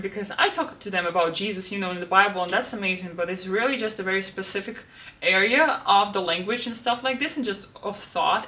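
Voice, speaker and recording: Bright-voiced young woman. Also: mid-range voice, distant mic. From Russia. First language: Russian. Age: 20s. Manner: chatty, many fillers and restarts. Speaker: vlogger. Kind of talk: casual planner walkthrough. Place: home room.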